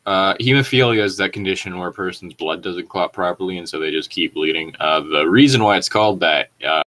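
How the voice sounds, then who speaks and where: A man's voice: deep voice, brisk, fairly bright tone. A man co-hosting a comedic fan fiction reading, chatting casually with explanatory asides and some swearing. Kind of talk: casual riffing and dramatic reading.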